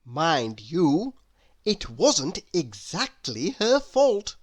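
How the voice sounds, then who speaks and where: Very animated woman reading a storybook. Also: low-pitched. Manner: deliberate, expressive storytelling. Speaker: storybook narrator. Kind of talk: scripted children's read-aloud narration.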